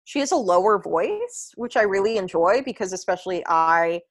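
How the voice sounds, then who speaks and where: A young woman with a low voice, chatting casually about pop music on a podcast, rambling with lots of fillers and restarts. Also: expressive, normal speed. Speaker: podcast co-host.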